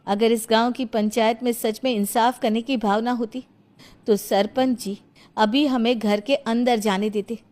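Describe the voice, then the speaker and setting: A woman's voice: fairly high pitch, fairly even intonation, measured pace, darkish tone, lightly acted. A woman voicing an outspoken, indignant young mother and daughter-in-law in a village cartoon story. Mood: firm, defiant. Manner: indignant, firm, challenging.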